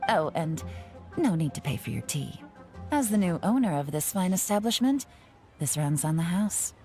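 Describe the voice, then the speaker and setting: A woman voicing a cool, confident female spy who runs things briskly in a video game. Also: lowish voice, big pitch swings, mellow. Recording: game audio with faint background music.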